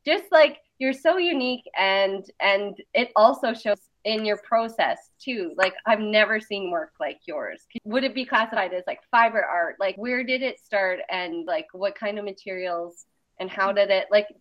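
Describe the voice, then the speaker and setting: A woman chatting casually about creative work, talkative and animated in her storytelling. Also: mid-range voice, normal speed. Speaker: artist and interviewer. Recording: dull lo-fi sound.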